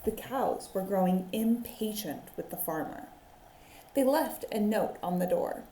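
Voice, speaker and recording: A woman teacher reading a storybook aloud to young children in a deliberate, expressive way, recorded in a roomy space. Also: medium pitch, a little hiss or hum. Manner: deliberate, expressive, with drawn-out phrases. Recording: roomy echo on the voice.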